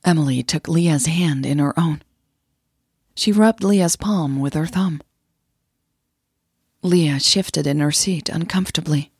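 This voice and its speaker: A woman narrating a scripted audio drama in a soft, breathy delivery. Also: deep voice, takes it slowly, long pauses, no echo, studio quality.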